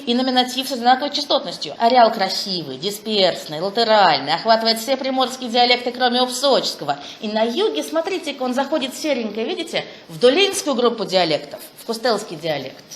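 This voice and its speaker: A woman's voice: a little high, fairly bright tone. A woman giving an academic conference talk and answering questions, enthusiastic and exclaiming over her findings. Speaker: conference presenter. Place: conference room. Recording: echoey room, faint noise.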